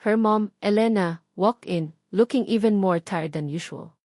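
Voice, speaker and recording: A woman's voice: medium pitch, a little slow, darkish tone, dry recording. Filipino woman narrating drama, dry close sound. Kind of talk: dramatic scripted story narration in mixed Tagalog and English.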